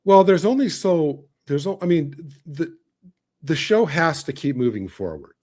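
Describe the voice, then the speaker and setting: A man's voice: on the high side, animated, a little slow, a little mellow. A man speaking as a podcast panellist in casual fan discussion, making his points with emphasis.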